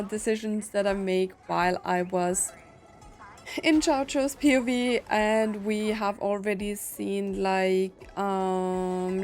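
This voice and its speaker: A woman streamer giving casual, chatty playthrough commentary, thinking aloud as she plays. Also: mid-range voice. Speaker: gaming streamer.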